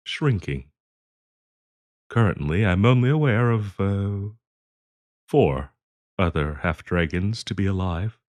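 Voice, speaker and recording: Man playing half-dragon broker and diplomat for fantasy roleplay audio: lowish voice, very animated pitch, polite, earnest, hesitant. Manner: hesitant, polite, halting with frequent ums and soft chuckles. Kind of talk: roleplay audio acting, addressing the listener.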